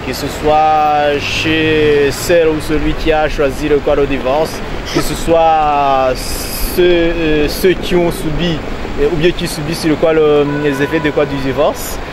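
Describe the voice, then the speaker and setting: A man answering a street interview at a train station, halting and searching for words. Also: fairly high pitch, flat delivery, noisy background. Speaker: interviewee, passer-by.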